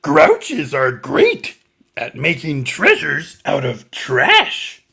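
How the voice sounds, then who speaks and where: A man voicing an excitable furry little monster puppet, reading a children's picture book in a strained, throaty voice. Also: a little high, gravelly, slightly rough, pitched above the performer's own voice, full character voice. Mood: earnest, instructive. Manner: emphatic, swooping, deliberate reading to small children.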